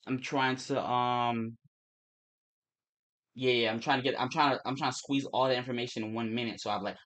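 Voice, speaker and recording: A young man from Turks and Caicos with a mixed Caribbean and American accent, talking fast and casually on a trading livestream, with restarts and swearing. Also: mid-range voice, fairly even intonation, fairly bright tone. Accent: Turks and Caicos Creole-English mix.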